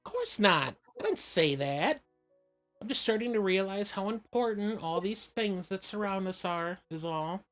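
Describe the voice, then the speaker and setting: A woman voicing a feisty, stubborn young adventurer girl in an amateur game fan dub, sarcastic and quick to snap. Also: mid-range voice, expressive, soft background music, natural voice. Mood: defensive.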